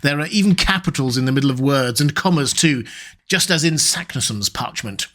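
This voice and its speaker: A stern, excitable old professor, as the narrator puts him on, lecturing brusquely and snapping into emphatic outbursts. He is a scholarly storybook figure, impatient and absorbed in his puzzle. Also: medium pitch, brisk, natural voice.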